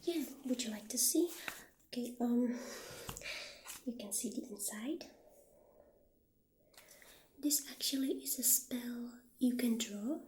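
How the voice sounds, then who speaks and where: A woman plays a humble, friendly witch shopkeeper in an ASMR roleplay. Her voice is fairly high, breathy and half-whispered, and she takes her time with gentle, halting phrases. The recording is roomy and echoing, with noticeable background noise. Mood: calmly explaining. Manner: gentle, hesitant, halting delivery. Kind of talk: soft-spoken ASMR roleplay.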